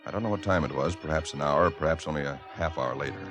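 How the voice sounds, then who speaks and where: A man's voice: very deep voice, quick pace. An American man acting a weary, dismissive husband in a 1940s radio drama, in a plainspoken storytelling manner. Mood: hushed, haunted. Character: weary, dismissive young husband recounting an eerie night. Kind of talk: 1940s radio acting, first-person narration and dialogue. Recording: old radio recording with music behind.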